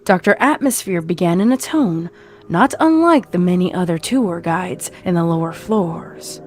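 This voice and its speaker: A woman's voice: lowish voice, moderate pace, a little mellow, a little hiss or hum. A woman narrating a scripted horror story as a storyteller.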